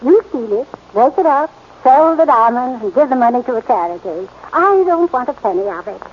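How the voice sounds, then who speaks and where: A dainty old society lady, high fluttery voice, super polite, 1940s radio, muffled and a bit hissy. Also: expressive, normal speed, breathy edge. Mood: earnest, fluttery. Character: genteel, sweetly earnest seventy-year-old society lady.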